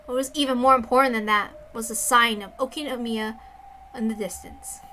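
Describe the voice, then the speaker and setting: Casting: high, bright female reader for visual novel narration, with informal asides. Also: steady tone, fairly quick. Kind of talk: dramatic narration with casual asides.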